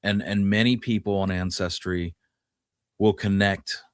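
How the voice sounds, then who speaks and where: A man's voice: sits low, fairly even intonation, a little slow, clean tone, dry recording, studio quality. A man co-hosting a history podcast, talking casually and musing his way through the details. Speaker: podcast co-host.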